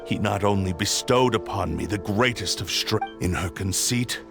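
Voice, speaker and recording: Game villain: vengeful sword spirit, low voice, pompous and menacing, music underneath. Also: male voice, moderate pace, creaky. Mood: proud, brooding. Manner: pompous, menacing, archaic formal speech. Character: proud vengeful male spirit of a cursed sword. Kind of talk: video game voice acting.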